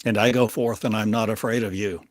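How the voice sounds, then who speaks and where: A man delivering a channeled prophetic monologue in halting, fragmented, oracular phrases full of coined words. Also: on the low side, fairly even intonation, dry recording.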